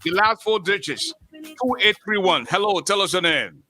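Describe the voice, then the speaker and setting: High, bright-voiced radio host man. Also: animated, normal speed. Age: 50s. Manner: chatty, repeats himself, stumbles into restarts. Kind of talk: live call-in radio chat.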